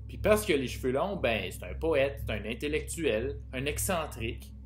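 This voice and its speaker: A man's voice: mid-range voice, big pitch swings, moderate pace, faint music behind, natural voice. A man reading the part of a teenage boy who dreams of university, speaking offhandedly to the audience. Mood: musing. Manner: offhand, telling the audience about his life. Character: teenage boy about to finish school, a dreamer. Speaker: reader in a staged play reading. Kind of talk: theatre reading of a monologue.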